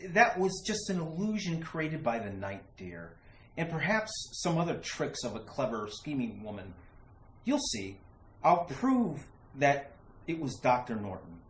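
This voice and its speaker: A woman's voice: very deep voice, sing-song, unhurried, heavily acted. A woman playing an earnest, protective man in a retro radio thriller, theatrical and emphatic. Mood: reassuring, insistent. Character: earnest, protective male companion, reassuring and insistent. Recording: echoey room with faint hiss.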